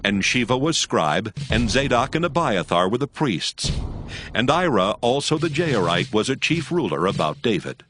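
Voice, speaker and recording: A man narrating scripture from a script in a measured, solemn manner. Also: medium pitch, very animated, fairly bright tone, a little hiss or hum. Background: background music.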